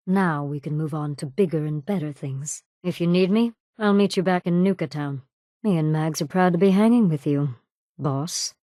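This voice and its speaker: Low, cool, ruthless raider woman, acted by a woman. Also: darkish tone, dry recording, clean studio sound, lightly acted. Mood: cool approval. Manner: cool, sardonic, mockingly threatening. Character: ruthless, sadistic female raider gang boss, coolly menacing. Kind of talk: video game character dialogue.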